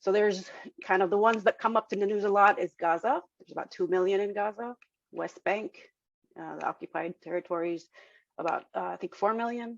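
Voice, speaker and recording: A woman's voice: medium pitch, fast talker, creaky. A woman from Nazareth whose first language is Arabic, giving an informal talk to a congregation over a video call, explaining with many fillers and restarts. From Nazareth, Israel. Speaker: guest speaker at an interfaith service. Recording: dull lo-fi video call audio.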